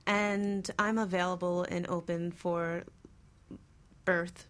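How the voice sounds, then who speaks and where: A woman speaking casually as a talk show guest, a doula, in measured phrases with pauses. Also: fairly low pitch, little pitch movement.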